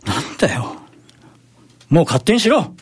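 A man playing a well-meaning but exasperated husband with a husky voice in an amateur radio drama. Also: fairly high pitch, very animated, measured pace, no room sound, studio quality, heavily acted.

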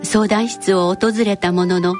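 A woman's voice: on the low side, fairly even intonation, unhurried. A woman narrating a scripted radio drama. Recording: clear music behind the voice.

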